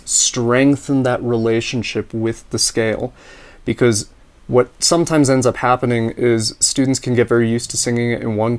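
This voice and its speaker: A man with a slightly raspy voice, teaching ear training: he explains in a relaxed, practical way and sings solfege scales and intervals. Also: medium pitch. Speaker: music teacher. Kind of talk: instructional talk with sung solfege drills.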